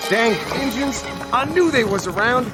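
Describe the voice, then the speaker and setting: A man playing an impatient, gruff cavalry corporal with folksy frontier speech in a radio drama. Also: very high voice, animated, moderate pace, loud music behind the voice. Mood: alarmed.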